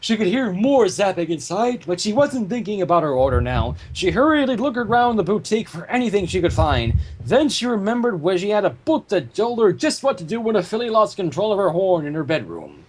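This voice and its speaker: A man narrating a fan-fiction story aloud in an animated storytelling style. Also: high-pitched, fairly quick, hardly any pauses, rough recording.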